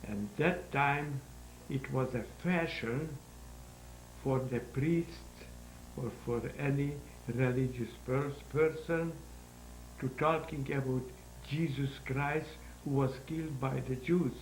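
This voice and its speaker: Slow, gravelly very old man. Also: mid-range voice. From Hungary. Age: 90s. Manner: halting, searching for words, non-native grammar. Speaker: guest. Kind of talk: interview answers. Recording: roomy echo with faint hum.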